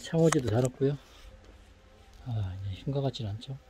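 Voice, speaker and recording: A man's voice: mid-range voice, animated, moderate pace, dark tone, slight background noise. Man, 30s, upbeat vlog narration, talks to camera, phone mic at arm's length, faint outdoor ambience. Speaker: vlogger. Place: outdoors in an overgrown yard.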